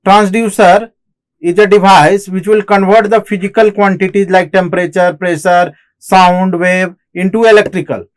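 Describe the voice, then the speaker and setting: A man with an Indian accent giving a technical lecture as an instructor, explaining in halting phrases and often repeating himself. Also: high voice. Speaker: engineering lecturer. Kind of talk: classroom lecture on technical material.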